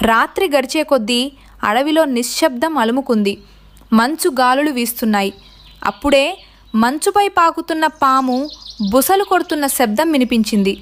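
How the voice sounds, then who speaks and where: A woman's voice: sits high, animated, normal speed, a little hiss or hum. A woman narrating a scripted story for children.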